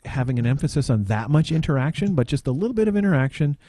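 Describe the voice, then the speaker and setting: A man's voice: on the high side, expressive, fast, mellow, no room sound, studio quality. A man chatting casually on a podcast, hesitant and searching for his words. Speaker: podcast panelist. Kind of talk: casual chat.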